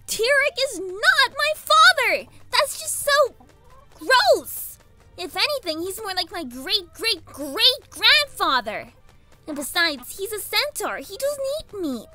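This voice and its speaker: A very high-pitched little girl pony villain for an audio drama. She is bratty and indignant, whining in exasperation with lively swings of pitch, over clear background music. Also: slow, exaggerated voice. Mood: outraged. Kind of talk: fan fiction audio drama acting.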